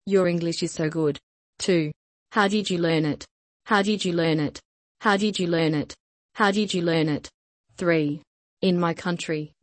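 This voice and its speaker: A woman with a somewhat low voice reads lesson sentences with slow, even care, repeating each one for learners. The sound is close and dry, with no room around it. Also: no echo. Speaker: language lesson reader. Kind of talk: scripted repeat-after-me drill.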